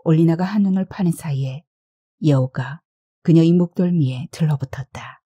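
Female narrator for literary fiction, low and husky, recorded dry and close. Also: normal speed, dark tone, dry recording, lo-fi sound. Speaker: audiobook narrator. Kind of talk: scripted literary story narration.

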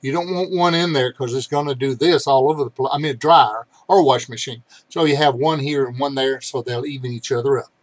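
A man's voice: medium pitch, fairly quick, hardly any pauses, no room sound. Older man, dry close sound. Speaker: vlog host. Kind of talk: casual explanatory talk to camera. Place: cluttered indoor room.

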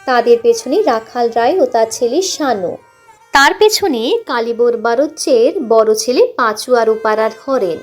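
A woman's voice: fairly high pitch, animated, measured pace. A woman narrating a literary story expressively, audiobook style, with music underneath.

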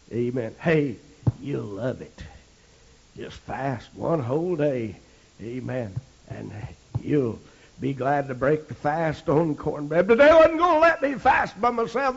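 A middle-aged American man preaching a holiness sermon, moving between folksy storytelling and loud exhortation, punctuating his phrases with amen. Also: medium pitch, gravelly, breathy edge. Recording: dull, lo-fi archival sermon recording.

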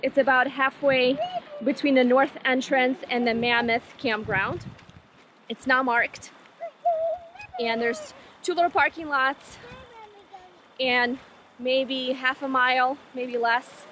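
A woman's voice: high voice, fairly even intonation, takes it slowly, pauses often, noisy background. A woman in her thirties filming a casual family travel vlog outdoors by a river, chatting and laughing easily into a handheld camera. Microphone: handheld action camera at arm's length. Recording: background music.

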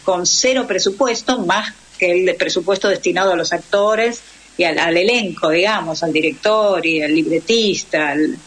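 A woman's voice: fairly low pitch, normal speed, low-quality recording. A woman with an Argentine accent talking conversationally as a radio interview guest, explaining and often checking with tag questions.

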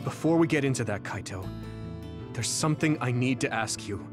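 A man voices a determined young soldier with a steady, mid-pitched voice. He speaks earnestly and plainly. Game music runs under him. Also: normal speed, lightly acted. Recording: game cutscene with music behind.

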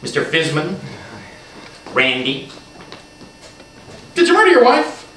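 A man playing a melodramatic true-crime TV anchor in a live comedy sketch, portentous and full of dramatic pauses. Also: a little high, huge pitch swings, sharp tone, heavily acted. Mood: mock-grave, insistent. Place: small theatre stage before an audience. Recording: noisy, echoey live theatre recording.

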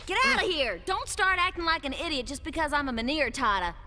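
A woman voicing a feisty, hot-tempered young alien tomboy, brash and defiant, in an animated dub. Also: high voice, fast. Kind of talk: animated anime dub acting.

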